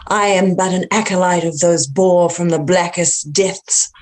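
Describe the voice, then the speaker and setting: A woman's voice: fairly low pitch, steady tone, measured pace, darkish tone, close to an everyday voice. A woman playing an ancient, inhuman cult acolyte in a horror script read, speaking with grandiose, taunting deliberation. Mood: sinister, grandiose. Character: sinister ancient female thing, cultic acolyte of cosmic gods, grandiose, taunting. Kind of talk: dramatic horror table read.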